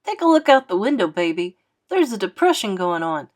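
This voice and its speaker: A woman's voice: medium pitch, sing-song, a little fast. A woman voicing a wry, easygoing male jazz pianist in a storybook, arguing his case. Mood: defensive, wry. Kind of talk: acted storybook dialogue.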